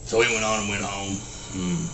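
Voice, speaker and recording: A man voicing a slow, simple-minded rural Southern man, telling a folksy story in halting, deadpan short sentences with grunted hums and a Southern US accent. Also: fairly low pitch, close to an everyday voice. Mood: deadpan, plodding. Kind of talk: folksy storytelling, film character impression. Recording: roomy echo, faint background noise.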